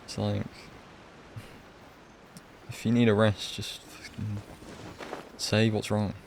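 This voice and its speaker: A man's voice: on the low side, completely flat delivery, slow pace, vocal fry, a little mellow, a little hiss or hum. A man giving casual live game commentary as a streamer, offhand and sardonic, swearing now and then.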